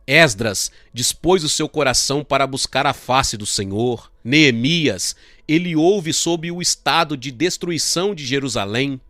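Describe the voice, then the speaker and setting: A man's voice: mid-range voice, lively intonation, a little slow, hardly any pauses, bright, forceful tone, no echo, clean studio sound. A man with a Brazilian accent reading a dubbed sermon as a first-person testimony.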